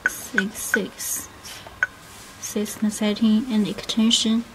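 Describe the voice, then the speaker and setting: A woman demonstrating a product step by step without a script, speaking in short, halting fragments. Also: mid-range voice, little pitch movement. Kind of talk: unscripted step-by-step product tutorial. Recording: noisy room with clicks.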